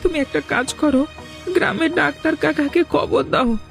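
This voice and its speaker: Woman voicing a creaky, high-pitched, pleading boy. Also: moderate pace, faint music behind. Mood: suffering, pleading. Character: wounded village boy, earnest and pleading. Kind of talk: cartoon voice acting.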